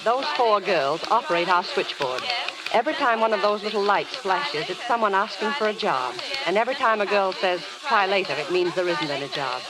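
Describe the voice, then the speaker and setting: An actress playing a matter-of-fact, weary casting office woman in a 1930s radio drama, dry and measured. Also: mid-range voice, lively intonation, a loud crowd, close to an everyday voice, poor audio. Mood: dry, cautioning. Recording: hissy vintage radio broadcast.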